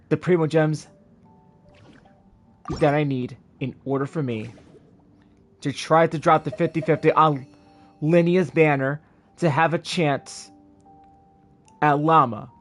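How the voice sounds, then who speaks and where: A man chatting casually on a game livestream, rambling and repeating his phrases as he goes. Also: on the high side. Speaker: game streamer. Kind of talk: casual livestream chat over gameplay. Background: game music.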